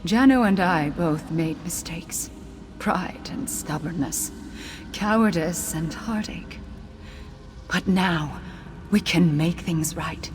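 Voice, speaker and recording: An actress voicing the remorseful ghost of a loving mother in a video game, earnest and sorrowful in measured speech. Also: on the low side, over music. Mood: solemn, resigned. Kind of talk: dramatic video game dialogue.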